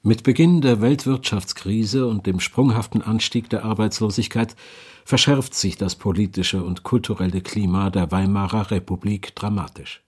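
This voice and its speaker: A man's voice: fairly low pitch, normal speed, a little mellow, husky. A man reading scripted documentary narration in a sober, factual manner.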